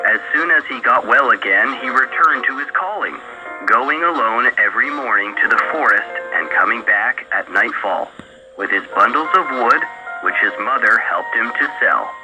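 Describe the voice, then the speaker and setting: A man narrating a scripted literary tale in a measured storytelling manner for a radio broadcast. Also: mid-range voice, expressive, rough recording. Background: loud music.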